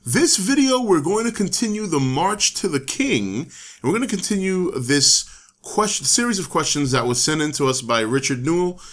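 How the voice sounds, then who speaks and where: A man with a hoarse voice hosting his own talk show, thinking aloud in a casual, rambling way. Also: a little high, sing-song, normal speed, fairly bright tone. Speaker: host. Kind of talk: casual solo talk to camera.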